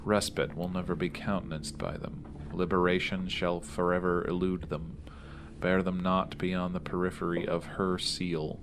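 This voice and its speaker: A man's voice: sits low, flat delivery, moderate pace, noisy background. A man chatting casually as a player in a tabletop roleplaying game.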